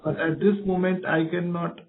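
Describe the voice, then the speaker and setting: A man, a company executive on a conference call, answers questions off the cuff in halting short phrases. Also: high-pitched, flat delivery. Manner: halting, explanatory, breaks sentences into short phrases. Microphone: telephone. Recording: narrow phone line.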